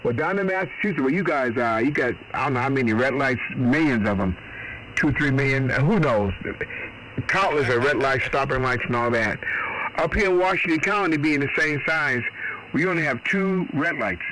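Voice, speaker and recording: A man's voice: mid-range voice, animated, moderate pace, bright, forceful tone, a little hiss or hum, rough recording. A middle-aged man, chatting on amateur radio in a folksy, rambling, mildly salty way. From Boston. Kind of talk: casual radio chat.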